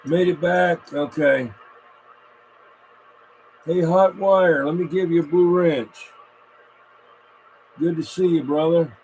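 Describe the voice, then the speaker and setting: A man's voice: on the high side, steady tone, slow pace, long pauses, darkish tone, rough recording. A congested man hosting a casual livestream, folksy and rambling as he greets viewers and mutters to himself. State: congested, frequent coughing and throat clearing. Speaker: livestream host of a vintage radio show. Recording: clear background noise, room ambience.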